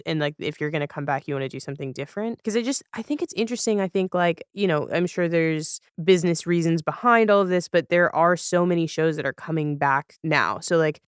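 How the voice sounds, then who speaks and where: A woman's voice: low-pitched, clear, resonant tone, no room sound, clean studio sound. A woman hosting a casual podcast conversation, chatty and rambling in the way she talks. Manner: chatty, rambling, quick to laugh. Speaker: podcast host.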